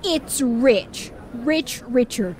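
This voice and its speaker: A woman's voice: fairly high pitch, expressive, extremely slow, darkish tone, slight background noise. Shifty, bitter Wild West outlaw voiced by a woman. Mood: evasive. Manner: evasive, bitter, theatrical. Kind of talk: western audio drama acting.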